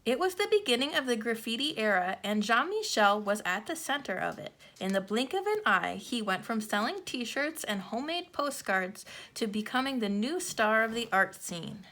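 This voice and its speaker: A woman reads a storybook aloud for children. Her voice sits a little high and has a clear bright edge. She delivers the scripted narration in measured phrases, leaving deliberate pauses. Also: big pitch swings. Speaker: children's book reader.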